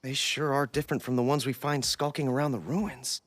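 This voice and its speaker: A man's voice: medium pitch, steady tone, a little fast, natural voice. An actor playing an earnest, eager young male adventurer in a video game cutscene. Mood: alert.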